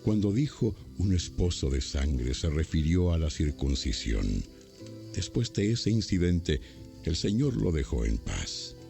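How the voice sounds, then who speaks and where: A man's voice: low voice, very animated, unhurried, gravelly, dark tone, faint music behind. A man narrating a dramatized Bible story from a script, as a storyteller between acted parts.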